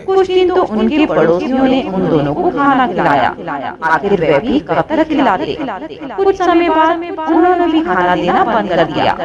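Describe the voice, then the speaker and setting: A woman's voice: high-pitched, very animated, moderate pace. A woman narrating a scripted moral story as a storyteller. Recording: dull audio, loud crowd bed behind.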